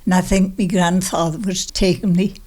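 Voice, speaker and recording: A woman's voice: lowish voice, level tone, normal speed, dark tone. A woman in her nineties with a Manx accent, casually reminiscing in a plain, emphatic way and repeating herself. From Isle of Man. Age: 90s. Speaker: interviewee recalling childhood.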